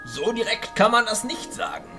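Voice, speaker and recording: High-voiced, excitable old wizard chancellor. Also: male voice, moderate pace, soft background music, full character voice. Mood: urgent. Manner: declamatory, emphatic, swinging between hushed and booming. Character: commanding, bearded old wizard archchancellor, resolute and excitable. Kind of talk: fantasy audio drama acting.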